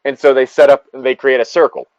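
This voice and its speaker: A man's voice: mid-range voice, level tone, brisk, darkish tone, no room sound, highs cut off. A man in a casual chat, rambling along and swearing freely.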